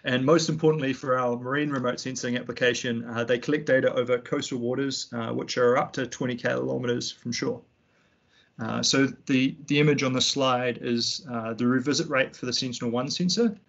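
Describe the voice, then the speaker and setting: A young man with a New Zealand accent, giving a tutorial over a slide presentation in a relaxed way, with frequent ums and restarts. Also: medium pitch, fairly quick, gravelly, lo-fi sound. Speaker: fisheries analyst giving a tutorial. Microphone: computer mic on a video call.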